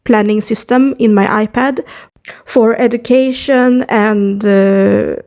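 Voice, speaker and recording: Young woman, Swedish accent, slow hesitant talk, gravelly fry, on-device mic. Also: on the high side, steady tone, darkish tone. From Sweden. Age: young adult. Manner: hesitant, many fillers and restarts. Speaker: YouTuber. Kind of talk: casual vlog talk to camera. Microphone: camera or device mic, no external mic.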